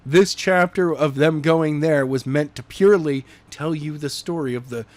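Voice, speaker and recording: A man's voice: a little high, normal speed, no echo. A man who is a podcast guest and reader, talking casually in a blunt, teasing, matter-of-fact way. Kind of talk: casual chat.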